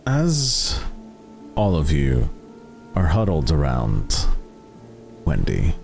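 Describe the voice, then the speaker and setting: A man narrating a tabletop roleplaying game as game master, in a deliberate, suspenseful way with long dramatic pauses. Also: extremely low pitch, huge pitch swings, creaky, darkish tone, soft background music.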